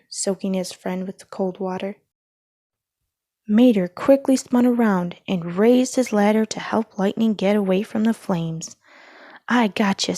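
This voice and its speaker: A woman's voice: medium pitch, mellow, no room sound, clean studio sound. A woman reading a children's storybook aloud as a scripted narration.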